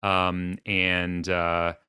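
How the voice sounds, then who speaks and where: A low, bright-voiced man chats casually on a podcast. His delivery is easygoing and slightly halting, with hardly any room sound. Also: monotone, smooth, no room sound, studio quality. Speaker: podcast quiz contestant. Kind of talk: casual chat.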